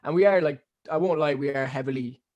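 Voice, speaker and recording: A young man from Ireland with a softened Cork accent leaning American, rambling casually through an interview with lots of fillers and restarts. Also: a little high, quick pace, lo-fi sound. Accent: neutral Cork Irish with American influence. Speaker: musician guest.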